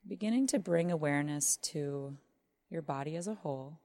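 Low, mellow woman guiding meditation. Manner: slow, measured phrases with long pauses. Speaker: meditation teacher. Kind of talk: guided body scan meditation.

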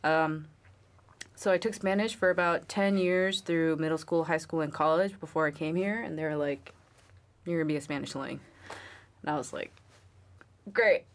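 A young woman from New York chats as a guest, wry and easygoing, with plenty of fillers. Her voice sits low for a woman. She talks about herself in a relaxed interview. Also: moderate pace, pauses often. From New York, USA. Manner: chatty and wry, many fillers. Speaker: guest, Marine Spanish linguist. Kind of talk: casual interview chat.

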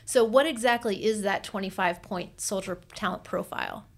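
A woman's voice: medium pitch, normal speed. A woman hosting a podcast, asking guests curious, friendly questions. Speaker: podcast host. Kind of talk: interviewing.

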